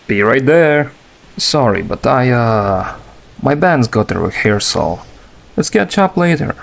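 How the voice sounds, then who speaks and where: A man's voice: medium pitch, very animated, takes it slowly, vocal fry, heavily acted. A man playing an easygoing teenage boy in a comic fandub, talking in a casual, teasing way. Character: easygoing teenage boy who plays in a band.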